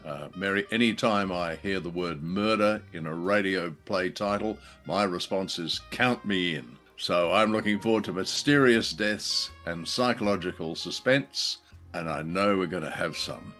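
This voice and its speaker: A man's voice: deep voice, normal speed, bright, forceful tone, faint music behind. A man co-hosting a show, delivering a scripted introduction. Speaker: podcast co-host.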